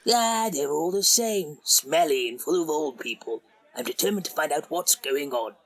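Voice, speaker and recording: An actor playing a suspicious, stubborn elderly care-home resident in an audio drama, earnest and determined. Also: male voice, fairly high pitch, expressive, moderate pace, fairly bright tone. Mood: determined, disdainful. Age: older.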